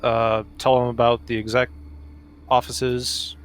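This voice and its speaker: A man playing a tabletop roleplaying game, talking casually and a little hesitantly with pauses as he thinks. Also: mid-range voice, little pitch movement, clear, resonant tone.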